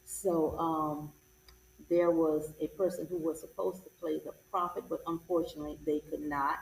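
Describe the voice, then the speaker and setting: A woman's voice: low voice, level tone, moderate pace, creaky. A woman, a stage actress, talking casually as an interview guest. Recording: echoey, distant mic, background noise.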